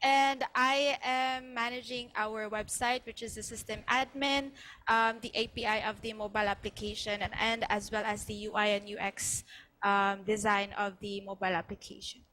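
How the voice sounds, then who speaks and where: Woman, 20s, Cebuano accent, bright, halting, lots of ums. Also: medium pitch, level tone, low-quality recording. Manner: halting, many ums and self-corrections. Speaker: student guest. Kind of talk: live interview answers.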